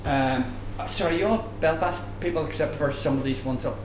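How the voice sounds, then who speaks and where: Higher-voiced older Northern Irish man, echoey room. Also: normal speed. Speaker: panelist, academic. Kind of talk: conversational panel talk, anecdotal. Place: bar venue, live audience discussion. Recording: echoey room, background noise, live event.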